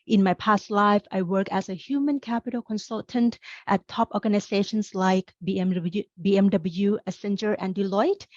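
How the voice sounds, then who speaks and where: A woman's voice: mid-range voice, darkish tone, no room sound, muffled recording. A middle-aged woman from Thailand, a career coach presenting a webinar, speaking in an upbeat, encouraging way straight to her audience.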